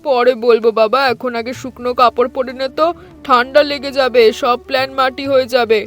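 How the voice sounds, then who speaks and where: A man's voice: very high voice, level tone, fairly quick, a bit bright. A man voicing a caring, fussing elderly mother-in-law in a cartoon story. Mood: gently caring.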